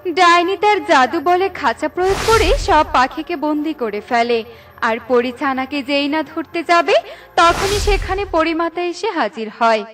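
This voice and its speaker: A woman's voice: high voice, expressive, measured pace. A woman reading scripted narration for a children's cartoon story. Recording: music behind the voice. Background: background music.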